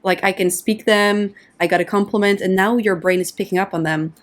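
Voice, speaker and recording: A woman's voice: medium pitch, steady tone. Woman from the Netherlands, podcast guest coach, explanatory, mimics inner voices in examples. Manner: explanatory, uses quick acted-out inner voices. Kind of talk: casual interview conversation.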